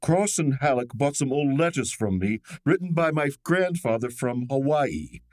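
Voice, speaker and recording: An actor playing a terse, matter-of-fact client in an old-time radio drama, measured in delivery. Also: male voice, medium pitch, animated, darkish tone, dry recording, lightly acted.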